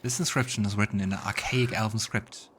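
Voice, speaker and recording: A man's voice: fairly low pitch, fairly even intonation, fairly quick, fairly bright tone, a little hiss or hum. A man hosting a let's play, mixing casual game commentary with narration read aloud. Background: game music.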